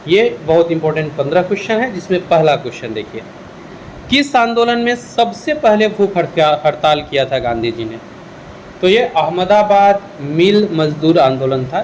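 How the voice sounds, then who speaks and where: A man with a high-pitched voice teaches in a question-and-answer style. He explains each answer and drops in English words as he goes. A steady air-conditioning hiss sits faintly behind him. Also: poor audio. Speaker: exam-prep history teacher.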